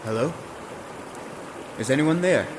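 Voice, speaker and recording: A man's voice: medium pitch, animated, measured pace, background noise, natural voice. A man playing a bold, courtly would-be king in a fantasy audio drama, with gallant, flowery, formal speech. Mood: curious.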